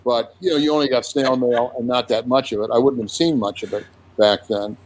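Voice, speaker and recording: An older man in his seventies, a comic artist recalling his early career in a relaxed interview, unhurried with frequent restarts. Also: mid-range voice, poor audio. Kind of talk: casual conversational interview.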